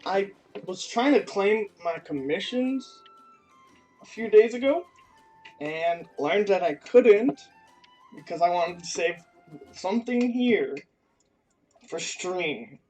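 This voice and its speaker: Expressive mellow-voiced woman streamer. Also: medium pitch, takes it slowly, long pauses, soft background music, rough recording. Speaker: game streamer. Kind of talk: casual livestream chat.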